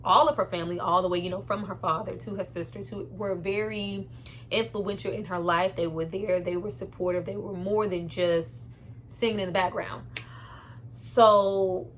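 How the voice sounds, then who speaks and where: A woman's voice: mid-range voice, fairly even intonation, moderate pace. Woman reviewing a film, noisy background. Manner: candid, opinionated, conversational, emphatic with slangy swearing. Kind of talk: casual spoken movie review.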